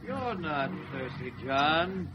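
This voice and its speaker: A sinister Martian in human guise for a 1950s radio drama. He drags his words out extremely slowly and menacingly, on a muffled old recording. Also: fairly high pitch, big pitch swings, over music. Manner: slow, menacing, deliberate. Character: sinister Martian posing as the captain's brother.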